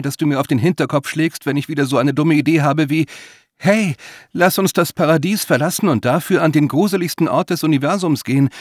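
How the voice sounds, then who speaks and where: A man's voice: fairly high pitch, dry recording, clean studio sound, pitched above the performer's own voice, close to an everyday voice. A man voicing an anxious, wry, emphatic woman scientist in an audiobook adventure.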